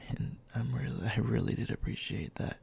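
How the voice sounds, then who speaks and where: A man playing a bossy but tender young boyfriend in a soft, intimate ASMR roleplay, speaking in a halting, breathy murmur that often drops to a whisper. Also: mid-range voice, full character voice. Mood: tender, earnest. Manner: halting, murmured, scolding yet caring.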